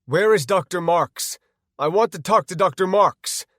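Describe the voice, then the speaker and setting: Casting: man acting anguished, defiant male gamer hero, foul-mouthed, vehement, very animated. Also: mid-range voice, normal speed, no room sound, clean studio sound, close to an everyday voice. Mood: panicked outrage. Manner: vehement, swearing.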